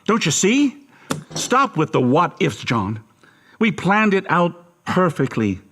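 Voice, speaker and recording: A man's voice: high-pitched, sing-song, slow, fairly bright tone, slight background noise, heavily acted. An actor playing a cold, manipulative, murderous father in a horror audio drama, menacing and insistent in manner. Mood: ranting, insistent.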